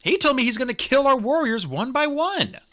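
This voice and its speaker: A man's voice: extremely high pitch, very animated, moderate pace, sharp tone, pitched above the performer's own voice, exaggerated voice. A man voicing an earnest male warrior cat in a storybook reading, speaking with urgent, commanding force.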